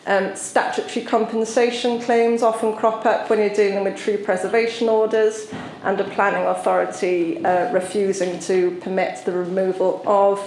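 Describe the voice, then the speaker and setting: A woman from South Wales with a Welsh accent, giving a conference talk as a solicitor and explaining with frequent pauses. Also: mid-range voice, flat delivery, darkish tone, roomy sound, slight background noise, low-quality recording. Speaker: tree law solicitor presenting. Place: conference venue.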